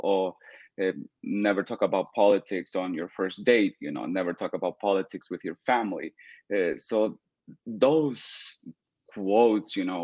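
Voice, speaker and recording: A young man from El Salvador, speaking as a guest in an interview. He talks earnestly and deliberately, with many "uh" and "you know" fillers. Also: low-pitched, darkish tone, dry recording. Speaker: guest, political activist. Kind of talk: podcast interview.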